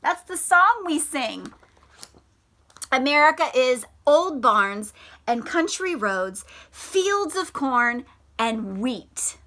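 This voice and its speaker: Female schoolteacher for a children's read-aloud lesson; bright, clearly forward tone, slow and deliberate, expressive delivery. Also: high-pitched. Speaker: elementary school teacher.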